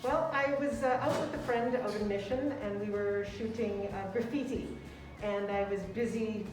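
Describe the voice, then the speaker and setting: A woman's voice: mid-range voice, fairly even intonation, normal speed. A woman speaking as president of a photography club in an interview, friendly and plain-spoken. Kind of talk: casual interview. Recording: echoey room, far from mic, with music.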